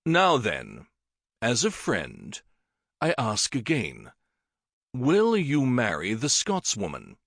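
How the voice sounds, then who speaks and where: A man voicing a commanding older king who speaks in a measured, regal way. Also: medium pitch, wildly swooping pitch, vocal fry, a bit bright, dry recording, studio quality, exaggerated voice. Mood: persuasive. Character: commanding older king, warm yet persuasive.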